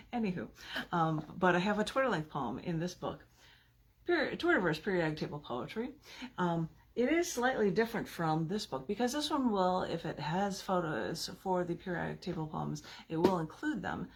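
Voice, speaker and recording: A woman poet with a husky, gravelly voice, reading her work theatrically. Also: lowish voice, sing-song, a little fast, slight background noise. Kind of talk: dramatic poetry reading with casual asides.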